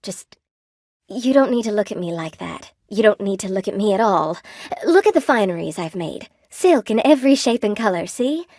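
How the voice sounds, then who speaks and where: An actress voicing a gentle, lonely spider-woman shopkeeper in a video game, polite and sweetly attentive, with old-fashioned genteel phrasing. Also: medium pitch, animated, normal speed, no echo, studio quality. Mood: wistful, self-conscious. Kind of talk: video game character voice acting.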